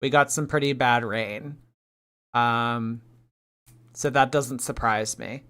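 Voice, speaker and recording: Bright teasing streamer girl in her late 20s, chatty, gets loud then mumbles. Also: rumbling low, fairly even intonation, takes it slowly. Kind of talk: livestream reaction chat.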